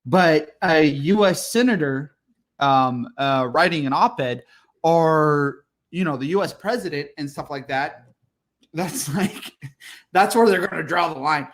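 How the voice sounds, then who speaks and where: A man in his thirties with a higher-than-usual voice, co-hosting a casual podcast. He rants bluntly, swears a lot and throws in crude jokes. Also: a little slow. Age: 30s. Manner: blunt, profane, jokey ranting. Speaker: podcast co-host. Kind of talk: casual chat.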